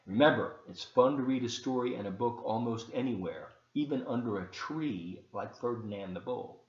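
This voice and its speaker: A man reading aloud to children as a volunteer storyteller, expressive and encouraging, with chatty asides. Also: mid-range voice, moderate pace, echoey room, muffled recording.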